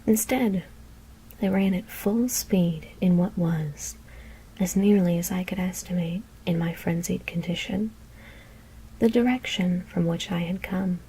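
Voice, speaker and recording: A woman whispers a scary story in a hushed, deliberate ASMR narration. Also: lowish voice, slightly rough, poor audio.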